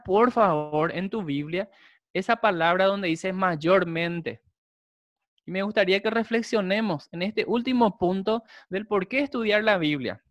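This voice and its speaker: Slow, deliberate preacher man. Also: sits high, no echo. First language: Guarani. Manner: slow, deliberate, emphatic repetition. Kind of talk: sermon with prayer.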